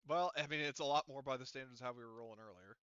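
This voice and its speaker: A man runs a tabletop roleplaying game in a casual, improvised way. His voice is clear and bright, and he thinks aloud in halting phrases. The audio is dry and a little dull. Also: medium pitch, dry recording.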